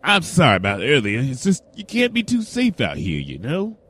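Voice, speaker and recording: A man's voice: medium pitch, huge pitch swings, normal speed, a bit bright, slightly rough, full character voice. A man voicing a protective, folksy pony father in a wasteland audio drama, with a drawling Southern US accent. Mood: warm, jovial.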